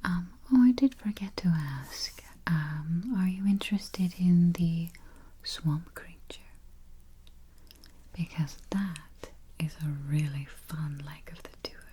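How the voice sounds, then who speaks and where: Slow, whispery, soft-spoken ASMR woman. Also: fairly low pitch. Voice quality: soft, breathy, mostly whispered. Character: polite, drily morbid female vampire travel agent. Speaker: ASMR roleplay performer playing a spooky travel agent. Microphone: close ASMR microphone. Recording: faint hiss, close keyboard typing and clicks. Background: typing, clicking and gentle tapping.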